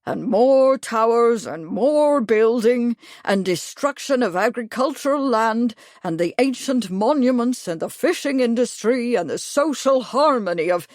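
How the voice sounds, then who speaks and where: A woman's voice: mid-range voice, animated, unhurried, a little mellow, no echo, clean studio sound, lightly acted. A woman voicing a fussy, pompous older male retired major who talks at length. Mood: indignant. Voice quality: pompous, fussy, long-winded.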